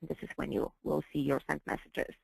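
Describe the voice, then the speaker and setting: A woman working as a software trainer, walking through slides in a deliberate, somewhat hesitant way and repeating phrases as she explains. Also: low-pitched, little pitch movement, creaky, slightly rough, poor audio. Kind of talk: slide-based training walkthrough.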